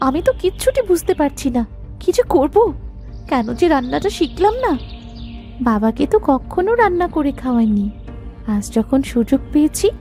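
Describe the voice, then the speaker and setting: A woman voicing an earnest, dutiful young daughter in an animated fairy tale. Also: high-pitched, normal speed, faint music behind. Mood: worried.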